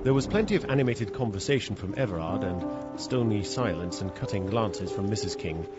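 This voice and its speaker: Expressive, proper young English gentleman played by an actor. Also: fairly low pitch, brisk, close to an everyday voice. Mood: measured storytelling. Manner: formal, polite, earnest. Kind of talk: radio drama narration and dialogue. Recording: dull radio recording under loud music.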